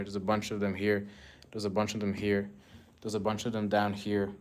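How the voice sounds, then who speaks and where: A young man from Rwanda talks loosely into a phone on a home livestream. His voice sits a little low, and he rambles, repeats himself and answers viewers' comments as they come in. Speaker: artist livestreaming. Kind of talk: casual livestream chat. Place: indoors at home among his paintings. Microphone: phone camera, moving around.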